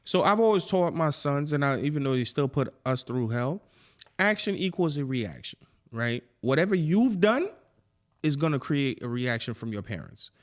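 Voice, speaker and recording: A man from Haiti co-hosting a podcast, telling stories in lively casual chat and swearing freely. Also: a little high, moderate pace. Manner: animated storyteller, swears freely. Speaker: podcast co-host.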